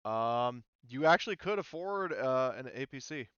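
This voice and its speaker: A man's voice: mid-range voice, expressive, normal speed, a bit bright, clean tone, dry recording, dull audio. A man acting as game master, talking players through a tabletop game in a casual, informal way with some swearing.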